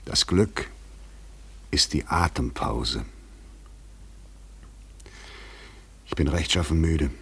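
A man's voice: a bass voice, measured pace, fairly bright tone, breathy edge, heavily acted. An actor playing a restless, weary man on the run, speaking with brooding, literary intensity in a German radio play. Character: restless, weary male fugitive, brooding and provocative.

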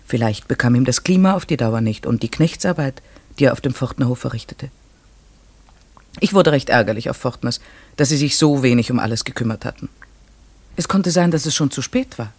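A man playing an earnest, inquisitive narrator in a radio play, musing and asking himself questions in a deliberate way. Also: mid-range voice, animated, dry recording. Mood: urgent, fretful. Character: earnest, inquisitive narrator puzzling over mysterious ravens.

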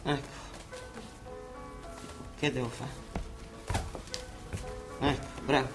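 An actor playing a bustling, grumbling but fond monsignor with a Roman accent, in a theatrical radio drama style. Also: male voice, mid-range voice, little pitch movement, very slow. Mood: impatient, bustling. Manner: colloquial, chivvying, muttering and exclaiming. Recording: echoey stage-like sound with music behind.

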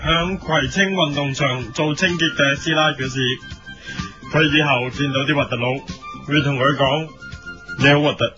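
A man's voice: on the high side, fairly even intonation, moderate pace, close to an everyday voice. A man playing a solemn news commentator who reads absurd editorials with formal gravity in an audio comedy. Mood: mock-serious. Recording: muffled lo-fi audio with music behind.

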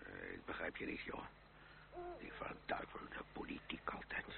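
Flustered old uncle, very low creaky voice, swooping intonation, hesitant stammer, old noisy radio play. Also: male voice, very animated, normal speed, heavily acted. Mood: bewildered. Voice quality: gravelly. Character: bewildered, sighing old uncle, ruined gentleman fleeing revolution. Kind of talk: radio drama acting. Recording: noisy, humming, tinny old radio recording.

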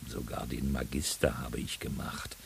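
An actor playing a sly cannibal monster in a radio drama, questioning victims with mock courtesy and a husky, theatrical, insinuating delivery. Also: male voice, a bass voice, fairly even intonation, moderate pace, whispered, heavily acted. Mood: teasing. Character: sly cannibal monster who politely interrogates his victims.

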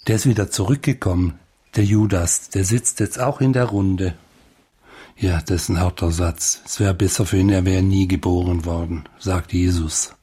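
Low, husky man retelling informally. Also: fairly even intonation, moderate pace, mellow. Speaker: commentator retelling and interpreting the Gospel. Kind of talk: informal spoken commentary and retelling.

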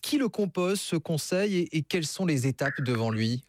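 A man hosting a live radio news interview, measured as he sets up questions for a guest. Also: a little high, lively intonation, slight background noise.

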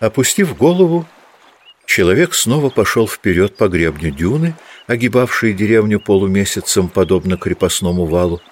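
A man with a deep, low voice narrates a literary audio drama. His delivery is measured and scripted, that of a storyteller setting out each scene. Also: lively intonation.

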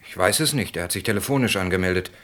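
A man's voice: low voice, rapid-fire, fairly bright tone. An actor playing an obliging male secretary answering questions politely and factually in a radio play. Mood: cooperative. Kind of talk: German radio play acting.

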